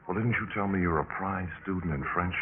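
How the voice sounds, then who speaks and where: An actor playing a flip, desperate young college student with a halting, pleading delivery in a 1950s radio drama. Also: male voice, deep voice, crowd noise, close to an everyday voice. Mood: anxious. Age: young adult. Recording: muffled, noisy 1950s radio recording.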